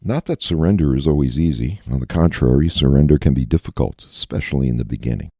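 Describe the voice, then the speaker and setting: Man, deep gravelly voice, earnest meditation reader, dry booth sound. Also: fast talker, dark tone, no room sound. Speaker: recovering addict reading a daily meditation. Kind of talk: reading a recovery meditation aloud.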